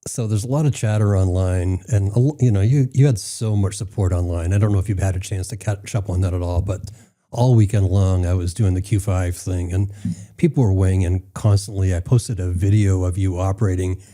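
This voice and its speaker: Deep, very dark-voiced male host. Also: steady tone, brisk. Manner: enthusiastic, chatty interviewer. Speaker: podcast host. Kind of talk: interview questions.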